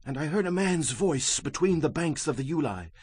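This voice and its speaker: An actor playing the prophet Daniel, solemnly and emphatically recounting his visions in a dramatized scripture reading, with a slightly husky voice. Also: fairly high pitch, animated, moderate pace, darkish tone, no echo. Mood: fearful, awed. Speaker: Bible narrator.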